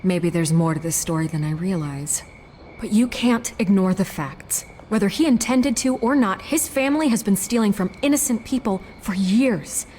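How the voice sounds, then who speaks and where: A medium-pitched, earnest reporter acted by a woman in naturalistic video game dialogue. She speaks pointedly and deliberately, as one probing for the truth. Chirping insects and ambient noise are heard behind her. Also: warm tone, close to an everyday voice.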